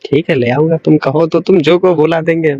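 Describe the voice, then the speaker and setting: A man's voice: fairly high pitch, fairly even intonation, brisk. Young man on a dull phone recording. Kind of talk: casual intimate phone chat. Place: phone call.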